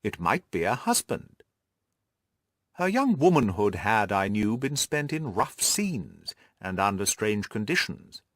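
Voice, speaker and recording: Audiobook gentleman detective, played by a male reader: assured, methodical, very animated pitch movement, close dry sound. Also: a little slow, no room sound. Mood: analytical, assured. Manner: precise, methodical, didactic explaining. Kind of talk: audiobook character dialogue.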